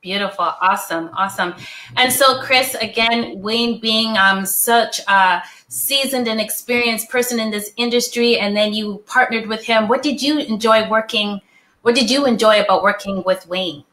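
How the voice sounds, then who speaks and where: A woman hosting an interview, warmly affirming her guests and laughing easily. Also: on the high side, normal speed. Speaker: host.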